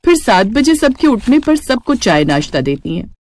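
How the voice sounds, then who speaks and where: A woman's voice: a little high, sing-song, moderate pace, darkish tone. A woman narrating a scripted moral story. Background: music.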